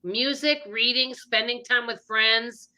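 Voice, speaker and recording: A woman with a Minnesota accent hosts a casual live stream, chatting in an upbeat, rambling way. Her voice is bright and a little high. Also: fairly even intonation, takes it slowly. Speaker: channel host.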